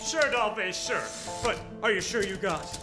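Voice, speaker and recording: A man's voice: high voice, moderate pace, echoey room, lightly acted. A man playing a cocky, self-dramatizing private detective in a 1940s noir radio parody, with wisecracking gumshoe slang and theatrical delivery. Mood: triumphant, cocky. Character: rough, cocky hard-boiled private detective, a male, self-dramatizing sleuth. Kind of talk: parody 1940s noir radio acting, alternating narration and dialogue. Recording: live stage radio play with music.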